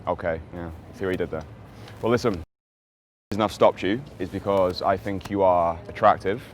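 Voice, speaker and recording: A man's voice: low-pitched, fairly even intonation, fairly quick, a little hiss or hum. A man doing a cheeky street interview outdoors, teasing and joking with a playful manner.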